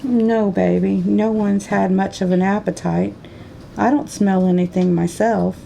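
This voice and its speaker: In an audiobook, a gentle older mother speaks in a slow Southern accent, as a male narrator puts her on. Her voice is soft and gravelly, dark and mellow, and she stays soothing while holding back tears. Her pitch barely moves. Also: steady tone, slight background noise, heavily acted, poor audio. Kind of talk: audiobook character voice.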